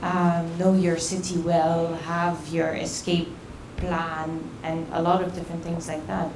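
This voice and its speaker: A woman with a lowish voice speaks earnestly on a panel, pausing to think as she talks. Her delivery stays flat. Background noise and strong hall echo surround her. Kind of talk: panel discussion. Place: event venue.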